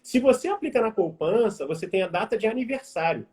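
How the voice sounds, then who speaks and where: A young Brazilian man in his twenties, an investment advisor explaining things casually on a live stream, informal and quick with many fillers. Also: high voice, lively intonation, a little mellow. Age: 20s. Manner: quick, informal, addresses audience as "gente", many fillers like "vamos dizer". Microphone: video call.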